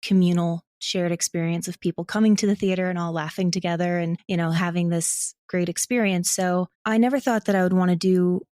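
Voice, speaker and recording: A woman's voice: fairly low pitch, fairly even intonation, fairly quick, few pauses, a little mellow, no echo, studio quality. An American woman from Ohio giving a candid, reflective and casual interview as a creator. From Ohio, United States. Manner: candid, self-reflective, laughs while talking. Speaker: interview guest, audio drama creator.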